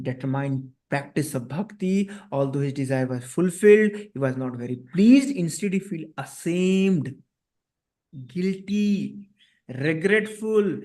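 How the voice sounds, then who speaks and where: A man's voice: fairly high pitch, a little mellow. A man with an Indian accent teaching a devotional story for children in a didactic manner, often checking in with short tag questions. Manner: didactic, frequent check-in tags. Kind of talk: children's scripture story lesson.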